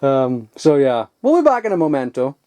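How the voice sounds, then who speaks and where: A man, chatting casually and excitedly while digging through old toys, rambling and swearing now and then. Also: medium pitch, moderate pace. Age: 20s. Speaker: toy collector vlogger. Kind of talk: casual unboxing chat.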